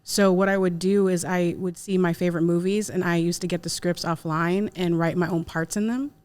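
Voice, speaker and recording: Smooth, low-pitched woman. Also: steady tone, brisk, a little mellow. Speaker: actress, panel guest. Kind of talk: casual panel conversation, personal storytelling.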